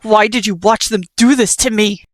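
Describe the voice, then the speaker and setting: A woman voicing a bitter, furious cursed male skeleton who shouts his lines. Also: fairly low pitch, lively intonation, slow, dry recording, studio quality, full character voice.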